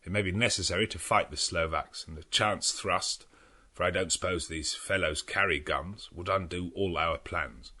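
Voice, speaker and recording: A man voicing a grave, resolute gentleman doctor with a measured, formal manner, in a gothic audiobook. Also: sits low, fairly even intonation, pitched below the performer's own voice.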